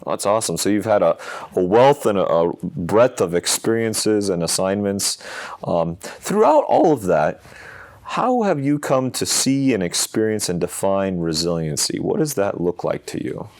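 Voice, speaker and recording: A man's voice: low-pitched, sing-song, moderate pace, a little mellow. A man, a chaplain hosting a podcast, who greets his listeners and asks his guest questions in a friendly, upbeat way.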